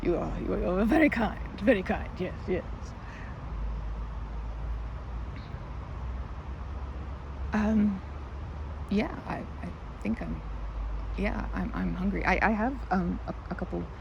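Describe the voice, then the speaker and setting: A woman's voice: mid-range voice, normal speed, dull audio, lightly acted. A woman playing a timid, flustered young woman in an ASMR roleplay, stammering and hesitant, rambling self-effacingly. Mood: shy, friendly. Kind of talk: ASMR roleplay, one-sided conversation. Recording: clearly noisy outdoor ambience.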